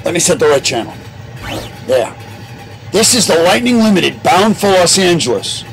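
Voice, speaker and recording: A man's voice: high voice, sing-song, normal speed, gravelly, faint music behind, heavily acted. A man playing a loyal, capable investigator sidekick in old-time radio drama, earnest and urgent.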